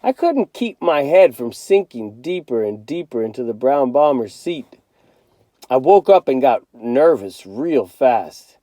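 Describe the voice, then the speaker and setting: A man reading a children's novel aloud as a teacher would, in an expressive storytelling style. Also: mid-range voice, unhurried, no echo.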